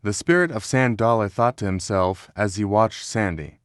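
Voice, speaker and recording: A man's voice: fairly low pitch, normal speed, smooth, dry recording, studio quality. A man reading scripted read-along narration as the narrator of a children's storybook.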